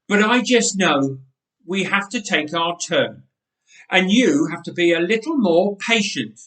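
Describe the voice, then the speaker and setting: A man's voice: high-pitched, lively intonation, a little slow, bright, forceful tone, heavily acted. Man playing a huffy, scolding aunt. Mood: prim. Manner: huffing, scolding, prim. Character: stern huffy middle-aged aunt. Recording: roomy church echo.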